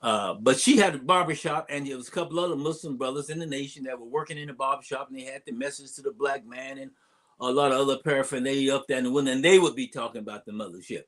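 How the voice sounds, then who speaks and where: An older man, an imam, giving an unscripted public address, telling stories from memory in a halting way with digressions. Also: medium pitch. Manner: halting delivery with long digressions. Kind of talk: unscripted storytelling address, reminiscing.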